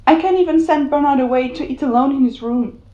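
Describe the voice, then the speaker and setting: A woman playing a desperate, unstable mother in an audio drama, halting, pleading and tearful. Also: high-pitched, warm tone, echoey room, muffled recording, close to an everyday voice. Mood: anxious pleading. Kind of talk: cinematic audio drama acting.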